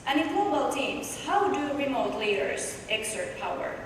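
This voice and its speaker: A woman with a Finnish accent presents a research paper, her voice a touch higher than most and pitched to carry. She is heard from well back in a big hall with long reverb and a steady hiss behind her. She explains step by step and poses questions to the audience. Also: moderate pace. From Finland. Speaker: conference presenter. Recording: echoey distant hall recording with noise.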